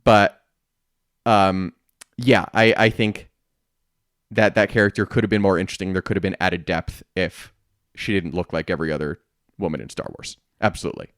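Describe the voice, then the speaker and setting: A man's voice: sits low, fairly even intonation, normal speed, pauses often, a bit bright, no echo, clean studio sound. A man co-hosting a podcast, talking informally and emphatically in a casual, opinionated fan discussion. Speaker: podcast co-host.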